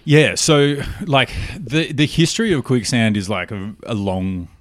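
A man's voice: medium pitch, expressive, a little slow, no room sound, clean studio sound. An Australian man in his thirties, telling stories in an informal, rambling, slangy way as a podcast guest. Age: 30s. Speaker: podcast guest, cookbook publisher. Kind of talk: candid casual interview chat.

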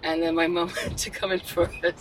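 A young woman, an actor and writer, talking candidly in a casual interview and telling animated stories with many fillers and restarts. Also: fairly low pitch, fast talker, a little hiss or hum. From Australia.

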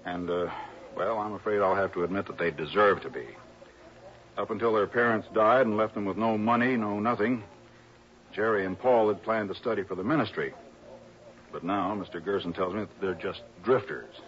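A man plays a fretful, disapproving American insurance man in vintage radio drama. His voice sits fairly low and he confides with frank, emphatic delivery. The sound is muffled, like an old broadcast. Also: moderate pace, fairly bright tone, natural voice. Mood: reluctant, frank. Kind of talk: 1960s radio drama acting.

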